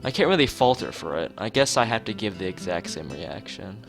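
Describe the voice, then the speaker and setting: A man's voice: on the low side, very animated, brisk, soft background music. A man live streaming, mixing joking, mock-formal commentary with reading story text aloud from a game. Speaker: streamer playing a visual novel.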